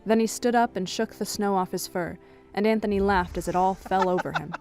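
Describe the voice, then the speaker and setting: A woman's voice: medium pitch, fairly even intonation, a little fast, a little mellow. A woman reading scripted third-person narration for an audio drama as its storyteller. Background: music.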